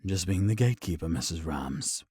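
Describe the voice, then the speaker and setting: An actor playing a suspicious, cryptic knight commander in a fantasy audio drama. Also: male voice, deep voice, lively intonation, normal speed, mellow, slightly rough, no room sound, studio quality.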